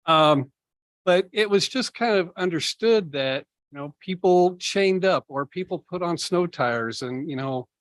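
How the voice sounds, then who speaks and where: A man's voice: high voice, animated, a bit bright, dry recording. Plain-spoken man presenting a city budget. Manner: measured, explanatory, many fillers. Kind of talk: public budget meeting presentation. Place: meeting room.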